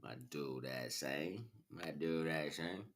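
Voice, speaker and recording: A man's voice: very deep voice, slow. An American man livestreaming a freestyle spiritual monologue in a rhythmic, rhyming, slangy way. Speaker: livestream host.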